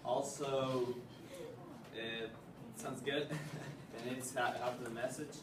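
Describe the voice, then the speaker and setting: A man's voice: mid-range voice, flat delivery, takes it slowly. A teenage boy presenting casually and playfully, recorded in a classroom from a distant camera. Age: teens. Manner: casual, playful, bursts into singing. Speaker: student presenter. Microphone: far camera. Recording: echoey classroom from far off, noisy.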